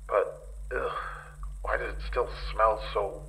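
An actor playing a weary investigator in a horror audio drama, husky and halting as he recounts danger. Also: fairly low pitch, steady tone, pushed and bright, a little hiss or hum, heavily acted, poor audio. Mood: uneasy.

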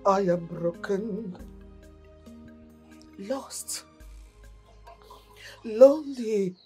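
A woman's voice: mid-range voice, expressive, extremely slow, a little mellow, faint music behind. An actress playing a mentally disturbed, childlike young woman with a Nigerian accent and a repetitive, rambling way of talking, in a film drama. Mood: anguished, tearful.

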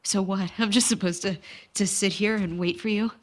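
A woman's voice: mid-range voice, steady tone, moderate pace, lightly acted. A woman playing a hurt, pleading young partner in a naturalistic game drama, raw and blunt. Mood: hurt, angry.

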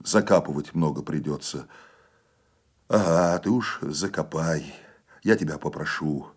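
Man reading as a weary, very low-voiced condemned villager. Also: slightly rough, full character voice. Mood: weary, resigned. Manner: subdued, halting, pleading quietly.

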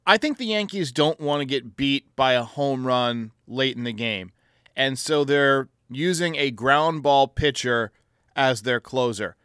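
Male sports show host, bright forceful delivery, clean close sound. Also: medium pitch, a little slow, clean tone, dry recording. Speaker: host of a baseball voicemail show. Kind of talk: sports commentary answering callers.

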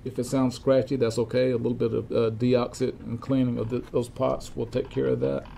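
An older man giving an informal instructional demonstration, explaining step by step in a halting, methodical way, recorded in a workshop. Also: mid-range voice. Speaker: repair technician demonstrating equipment checks. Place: cluttered indoor electronics workshop. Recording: clear steady background room noise.

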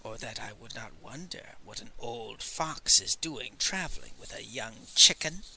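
A man's voice: mid-range voice, lively intonation, unhurried, heavily acted, rough recording. A man doing a whispery sly ancient sage. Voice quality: hushed, breathy whisper. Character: ancient sly male sage, mocking and cunning. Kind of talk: audiobook character voice.

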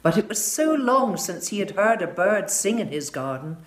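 A woman in her sixties reading a children's story aloud in a measured, dramatic way. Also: medium pitch, big pitch swings. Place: furnished living room, indoors.

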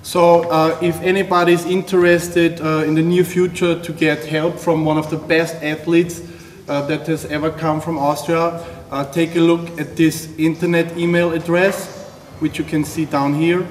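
A male interviewer, measured and a little hesitant. His voice is fairly high and very even in tone, heard in a reverberant room. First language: not English. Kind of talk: unscripted interview questions. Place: seated indoors in a gym lounge. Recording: echoey hall, faint background noise.

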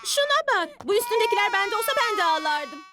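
Squeaky-high, dramatic cartoon girl. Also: sing-song, quick pace, over music. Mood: chirpy, dramatic. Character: Polly's chatty, dramatic young girl friend. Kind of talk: children's cartoon dub.

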